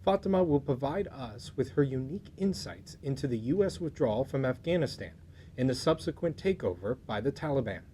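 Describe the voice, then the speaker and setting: A man's voice: medium pitch, lively intonation, fairly quick, mellow, slight background noise. A young man co-hosting an interview, introducing the guest and asking questions. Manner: brief acknowledgments while listening. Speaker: co-host.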